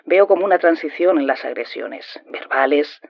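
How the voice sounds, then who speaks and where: Husky higher male voice, sympathetic interviewer played by a male actor, gently probing, dry sound. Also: animated, moderate pace, no room sound, lo-fi sound. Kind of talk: scripted audio-drama interview.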